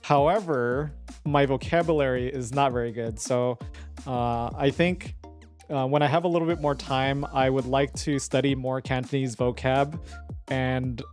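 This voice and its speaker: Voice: medium pitch, fairly even intonation, measured pace, a little mellow. Giggly streamer chatting over faint music. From United States. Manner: giggly, rambling with many fillers. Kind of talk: casual live-stream chat.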